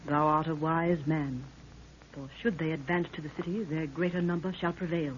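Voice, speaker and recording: A woman's voice: low voice, steady tone, normal speed, lightly acted. An actress playing a proud, commanding queen with solemn archaic speech in an old radio drama. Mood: grave, stately. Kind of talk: 1930s radio drama acting. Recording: dull, noisy 1930s radio recording.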